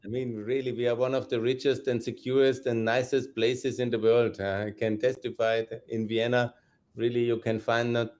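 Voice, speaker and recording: A man's voice: medium pitch, a little mellow. A man hosting a political interview podcast, emphatic and chuckling between points. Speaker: podcast host.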